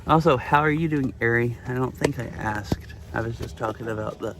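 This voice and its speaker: A hoarse young man streaming outdoors, chatting casually and rambling with lots of fillers. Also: mid-range voice, brisk, noisy background. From Washington state. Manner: rambling, filler-heavy, with frequent "like". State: hoarse from lost voice. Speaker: IRL streamer. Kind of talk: casual live-stream chat. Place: outdoors by a flooded river.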